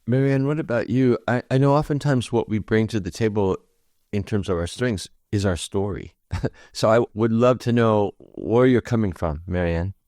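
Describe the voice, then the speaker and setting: Very low-voiced male podcast host. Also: normal speed, darkish tone, no echo, studio quality. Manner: affirming, probing questions. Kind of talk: interview.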